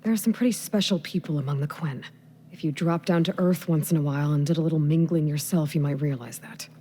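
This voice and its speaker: An actress playing an earnest, guarded young woman warrior in a video game, speaking with an American accent in a soft, breathy, halting and intimate way. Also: deep voice, a little hiss or hum, natural voice. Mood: dry, chiding. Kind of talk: naturalistic video game cutscene acting.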